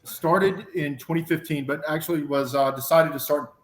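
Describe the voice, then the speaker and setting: A man's voice: on the high side, steady tone, moderate pace, echoey room, over a noisy crowd. A man with a Southern drawl, a podcast guest who runs his words together and fills with um and you know. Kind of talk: casual podcast conversation.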